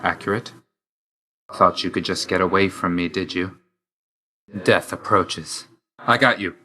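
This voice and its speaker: A man's voice: sits low, a little slow, sharp tone. A man voicing a grim, reserved god of death in a video game, speaking tersely and solemnly with dry loyalty. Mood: grim, curt. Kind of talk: scripted video game voice lines.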